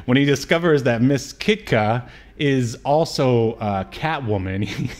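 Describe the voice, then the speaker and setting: Man casually chatting about movies, halting delivery. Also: mid-range voice, expressive, darkish tone. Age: late 30s. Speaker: podcast co-host. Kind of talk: casual movie discussion, joking. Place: home room on a video call.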